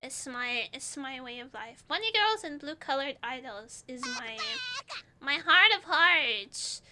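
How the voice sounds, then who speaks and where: Bubbly high-voiced streamer woman. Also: sing-song, measured pace, noisy background. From Portugal. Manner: giggly, bubbly, rambling. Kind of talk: casual chat reading live chat.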